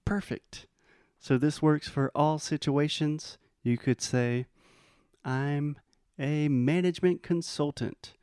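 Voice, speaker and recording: An American man teaching English in a bilingual lesson, explaining patiently and modeling phrases slowly. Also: fairly high pitch, fairly even intonation, darkish tone, clean tone, no room sound, studio quality. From United States.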